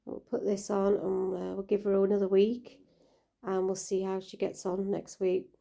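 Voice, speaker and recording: A woman with a croaky voice, gently talking through caring for her koi in a casual vlog. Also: mid-range voice, little pitch movement, moderate pace. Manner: gentle, caring, chatty with fillers. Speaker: koi keeper vlogger.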